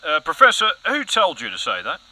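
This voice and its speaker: A man's voice: fairly high pitch, huge pitch swings, a little fast, sharp tone, exaggerated voice, low-quality recording. Man playing a dramatic, swooping conspiracy-minded news anchor. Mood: conspiratorial. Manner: dramatic, insinuating, earnestly suspicious. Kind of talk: satirical broadcast news audio comedy acting.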